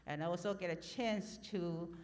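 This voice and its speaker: Female church interpreter, lowish voice, hesitant and filler-heavy, long hall reverb. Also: normal speed, darkish tone.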